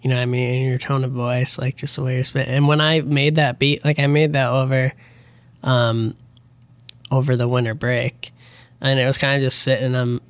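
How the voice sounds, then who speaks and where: Man, podcast host, casual and chatty, medium pitch, dry sound. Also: flat delivery, moderate pace, clear, resonant tone, dry recording. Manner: easygoing, chatty, lots of "you know what I mean". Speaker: podcast host and beat producer. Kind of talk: casual interview.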